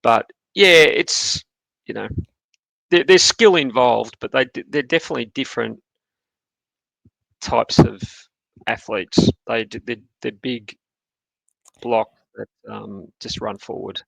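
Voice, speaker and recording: Gravelly man, hesitant. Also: medium pitch, fairly bright tone, no echo, rough recording. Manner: hesitant, restarts often, measured. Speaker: podcast guest. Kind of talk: casual sports chat.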